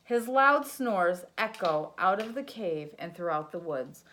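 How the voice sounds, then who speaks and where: A woman's voice: lowish voice, expressive, a little slow, fairly bright tone, slight background noise. A woman teacher reading a children's picture book aloud, doing sound effects and asking her young listeners questions.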